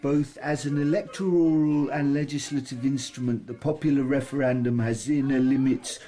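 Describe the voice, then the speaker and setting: An actor playing a troubled, childlike, volatile uncle with learning difficulties in a radio play, speaking in blunt, repetitive phrases. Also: male voice, mid-range voice, steady tone, moderate pace, mellow, soft background music, close to an everyday voice. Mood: laboured reading.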